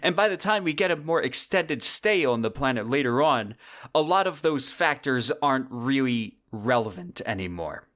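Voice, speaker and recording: A male podcast host with a mid-pitched voice talks through a semi-scripted commentary. His delivery is conversational and wordy, and he leans on key phrases for emphasis. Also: lively intonation, normal speed, fairly bright tone.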